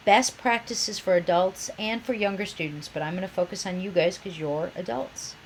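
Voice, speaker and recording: A woman's voice: lowish voice, slight background noise, rough recording. A woman professor with a cold and a slightly nasal voice, giving a chatty, enthusiastic course introduction. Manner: chatty, enthusiastic, self-described geek.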